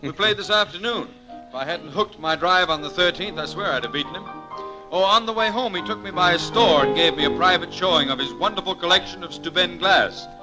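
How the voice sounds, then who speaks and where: An actor playing an urbane, easygoing importer and amateur sleuth in a 1940s radio drama, with polished, genial delivery. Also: male voice, high-pitched, fairly quick, over music, natural voice, low-quality recording. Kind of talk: 1940s radio detective acting and narration.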